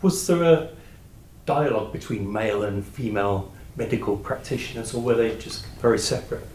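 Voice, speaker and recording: A man hosting an interview, putting measured questions to his guests. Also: fairly low pitch, expressive, darkish tone. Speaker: interviewer, podcast host. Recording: echoey room, faint noise.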